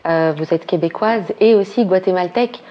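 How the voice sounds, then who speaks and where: Hesitant woman interviewer, lower voice. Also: moderate pace, dull audio. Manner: long questions full of "euh" hesitations. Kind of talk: formal interview questions.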